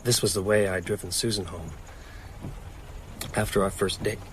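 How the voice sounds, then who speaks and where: An actor playing a grieving, haunted man in a horror audio drama, intense and halting under strain. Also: deep voice, steady tone, a little hiss or hum, lightly acted, low-quality recording. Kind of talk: audio drama acting with first-person narration.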